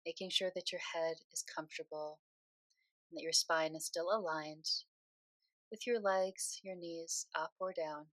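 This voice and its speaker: A woman leading a guided meditation in a soothing, unhurried way, speaking phrase by phrase with pauses. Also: deep voice, no echo.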